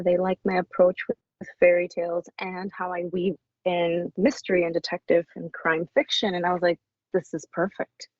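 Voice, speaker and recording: A woman speaking as an author guest in a casual interview, effusive and often halting and restarting her sentences. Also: on the low side, no echo. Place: small cabin in the woods. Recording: dull lo-fi audio.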